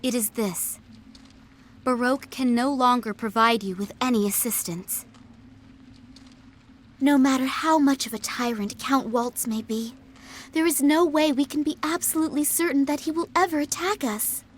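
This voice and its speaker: An actress playing a gentle, principled young princess in a video game cutscene, speaking formally and earnestly. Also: female voice, high-pitched, normal speed, a little hiss or hum, lightly acted.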